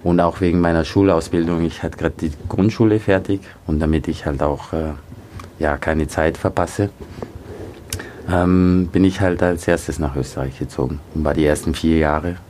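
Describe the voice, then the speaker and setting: A man with a very low voice answers interview questions in a halting, relaxed way, filling his pauses with small sounds. He grew up in Nigeria. A faint ambient hiss sits behind him. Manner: hesitant, many fillers. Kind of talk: casual interview.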